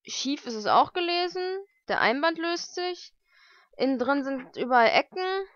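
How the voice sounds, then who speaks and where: Higher-voiced young woman, dull lo-fi sound. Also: lively intonation, a little slow, fairly bright tone, no room sound. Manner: chatty, thinking aloud, informal. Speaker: vlogger unboxing mail-order books. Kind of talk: casual unboxing commentary. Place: lived-in room at home, indoors. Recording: dull lo-fi home recording.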